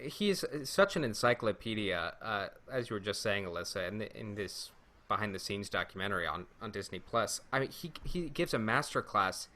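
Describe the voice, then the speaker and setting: A man with a forceful, bright edge to his fairly low voice. He co-hosts a podcast and talks casually, choosing his phrases in a measured and thoughtful way. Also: very animated. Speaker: podcast co-host.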